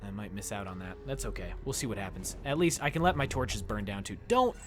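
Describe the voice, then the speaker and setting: A man's voice: fairly low pitch, brisk. A young man chatting casually with his viewers while he plays, talking into a headset mic in a small room. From United States. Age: young adult. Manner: chatty, animated, talks to chat while playing. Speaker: streamer. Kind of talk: casual gameplay commentary with chat.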